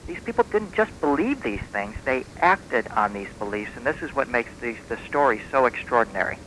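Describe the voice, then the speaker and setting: Bright-voiced man on noisy shortwave radio. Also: mid-range voice, lively intonation, a little fast. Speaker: guest author on a radio talk show. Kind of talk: conversational radio interview, explanatory storytelling. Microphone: radio broadcast.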